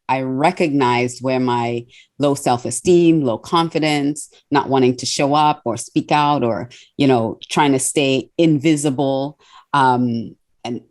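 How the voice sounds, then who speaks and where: A woman's voice: a bass voice, darkish tone. A middle-aged woman from Trinidad and Australia, a confidence coach answering interview questions thoughtfully. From born in Trinidad, raised in Australia. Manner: reflective, thoughtful with many fillers.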